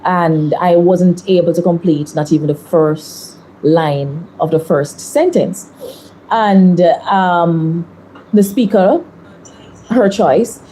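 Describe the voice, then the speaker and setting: A woman in her thirties with a Jamaican accent, a politician answering interview questions in a measured, deliberate way. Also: low-pitched, dark tone, slight background noise, poor audio. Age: 30s.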